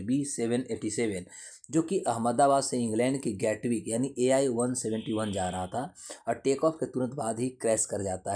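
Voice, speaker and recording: Mellow man teaching. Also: mid-range voice, breathy edge. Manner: didactic, repeats and rephrases points. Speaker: teacher explaining current affairs. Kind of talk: explanatory lesson to camera.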